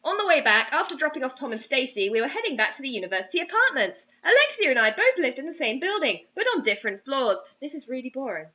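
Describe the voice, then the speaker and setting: A woman's voice: sits high, sing-song, quick pace, few pauses, pushed and bright, dull audio. A woman reading fan fiction aloud for comedy, breaking off into sarcastic, mock-dramatic asides.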